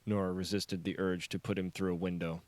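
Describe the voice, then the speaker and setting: A man's voice: low-pitched, level tone, fairly quick, a little mellow, dry recording. A man reading his own novel aloud as scripted audiobook narration.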